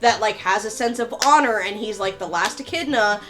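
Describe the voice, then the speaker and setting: A woman's voice: medium pitch, moderate pace, fairly bright tone. A woman co-hosting a podcast, chatting and debating casually, opinionated and free with her swearing. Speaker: podcast co-host.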